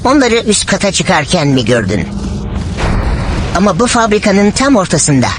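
A man's voice: sits high, sing-song, normal speed, background noise. A man voicing a devoted, brave cartoon dog father, earnest, urgent and protective. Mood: worried, urgent. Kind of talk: dubbed fan cartoon voice acting. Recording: music behind the voice.

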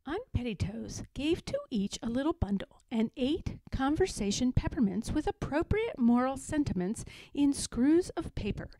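High, animated woman reading a storybook. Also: moderate pace, no echo, low-quality recording. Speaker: story reader. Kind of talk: expressive children's storybook narration.

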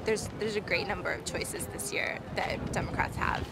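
A woman's voice: lowish voice, fairly even intonation, moderate pace, vocal fry, very noisy. A young woman in her twenties answering interview questions thoughtfully. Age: 20s. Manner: thoughtful, with fillers and restarts. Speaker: graduate student at rally. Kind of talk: street interview.

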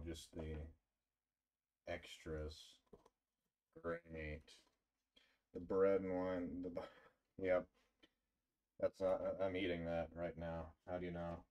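Low, slow-talking man livestreaming. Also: a little mellow, a little hiss or hum, poor audio. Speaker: video game livestreamer. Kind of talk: casual livestream gameplay chat.

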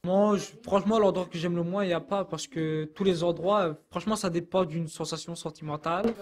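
A man's voice: high-pitched, steady tone, a little fast. A young man answering interview questions earnestly into a handheld mic in a youth centre room, with some fillers and restarts. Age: young adult. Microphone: handheld microphone.

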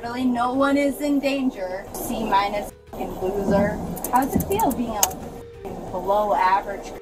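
A woman's voice: medium pitch, animated, moderate pace, loud music behind the voice, poor audio. A young adult woman in a heated confrontation, argumentative and insistent, repeating herself, caught on police cameras. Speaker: arrested suspect. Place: back of police car and just outside at night. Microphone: police car camera and officer's body camera.

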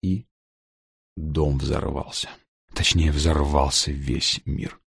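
A man's voice: rumbling low, steady tone, slow, gravelly, slightly rough, no echo, studio quality. A man narrating a humorous first-person story for an audiobook, with a wry, ironic delivery.

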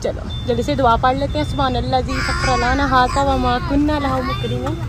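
A woman's voice: high voice, level tone, a little fast. A woman in her thirties from Pakistan, with a Pakistani Urdu accent, chatting casually to viewers in a vlog. She talks straight to the camera and often adds a polite "ji". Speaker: family vlogger. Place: busy airport, parking and terminal. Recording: loud crowd and traffic noise.

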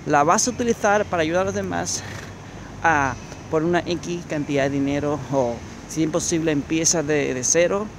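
A man's voice: a little high, normal speed. A man in his thirties giving a motivational talk to a phone camera as he walks along a city promenade at night, repeating his points and speaking straight to the viewer. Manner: preachy, repetitive, addresses viewer directly. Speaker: motivational coach. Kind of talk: walking vlog monologue. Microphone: phone camera held while walking. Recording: noisy outdoor phone recording. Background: street ambience and passing traffic.

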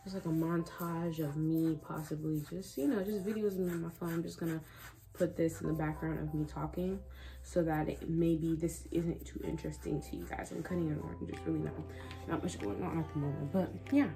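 A woman's voice: sits low, steady tone, a little fast, gravelly, dark tone, soft background music. A 20-year-old woman chatting casually and candidly in a personal vlog, rambling reflectively in a bedroom. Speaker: vlogger. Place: small bedroom, indoors.

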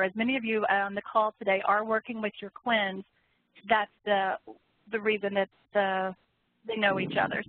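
A woman's voice: medium pitch, dry recording. Woman moderator on a phone-like line. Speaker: webinar moderator. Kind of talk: moderating a panel Q&A.

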